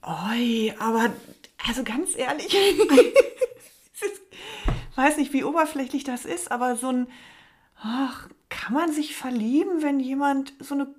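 Eine Frau mit leichtem Lispeln, die als Co-Host offen und locker plaudert und dabei oft lacht. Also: female voice, high-pitched, expressive, slow. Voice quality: slight lisp. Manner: frank, chatty, laughs often. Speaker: podcast co-host. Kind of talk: casual chat.

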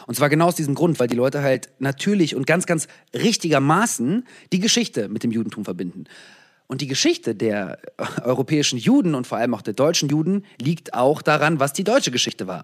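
A man from the former Soviet Union answering interview questions thoughtfully, with frequent pauses, fillers and restarts. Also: medium pitch, very animated, slightly rough. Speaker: guest.

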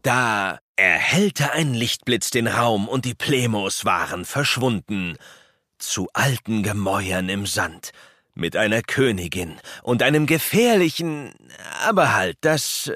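A man narrating a children's audio play as a scripted storyteller, expressive and suspenseful. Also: lowish voice, takes it slowly, dry recording, studio quality.

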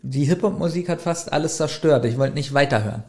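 A man's voice: a little high, a little fast, darkish tone, a little hiss or hum. A young man co-hosting a podcast, chatting playfully about an audio drama and laughing easily. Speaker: podcast co-host. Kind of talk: casual chat reviewing an audio drama.

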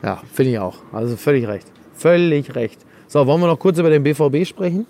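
A man's voice: a little high, a little fast, warm tone, a little hiss or hum. A man co-hosting a football podcast, giving his views in casual, conversational talk.

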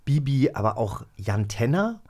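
A man's voice: medium pitch, big pitch swings, measured pace, dark tone. A man in his forties chatting casually and enthusiastically as a podcast co-host. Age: 40s.

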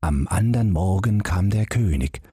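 Casting: male audiobook narrator for fairy tales, low husky voice, unhurried, expressive, close and dry. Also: dry recording. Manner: expressive storyteller. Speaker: audiobook narrator and podcast host. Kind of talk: fairy-tale narration, scripted.

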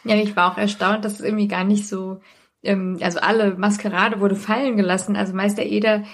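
A woman's voice: medium pitch, little pitch movement, fairly quick. A woman co-hosting a podcast in casual, conversational chat. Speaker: podcast co-host.

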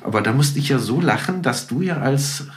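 Chatty man with a mid-pitched voice. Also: expressive, fairly quick. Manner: chatty, enthusiastic, anecdotal. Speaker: podcast guest. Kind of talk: casual chat.

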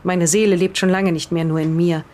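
A woman's voice: on the low side, little pitch movement, a little fast, a little mellow, lightly acted. A woman playing an uneasy 1920s witness to occult events in an audio drama, with faint noise behind. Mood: solemn, ominous. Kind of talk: in-character audio drama retelling.

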